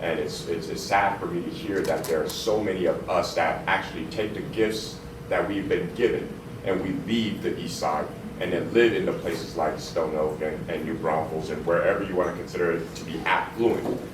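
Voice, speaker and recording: Brief: very low-voiced man from San Antonio, big forceful delivery, echoey meeting room from far off. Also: steady tone, moderate pace, noisy background. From San Antonio, Texas. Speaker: community attendee speaking up. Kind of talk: town hall comments. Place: town hall meeting room.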